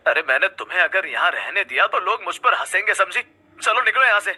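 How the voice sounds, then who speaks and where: A man playing a harsh, shallow young husband, husky and scolding, easily outraged, in a cartoon story. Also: sits high, fairly quick, pushed and bright, exaggerated voice. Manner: indignant, scolding.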